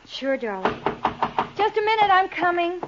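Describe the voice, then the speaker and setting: A woman's voice: very high voice, sing-song, moderate pace. An actress playing a meek, anxious girlfriend in a 1950s radio crime drama, timid and plaintive. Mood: anxious, placating. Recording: noisy, muffled old radio recording with clicks.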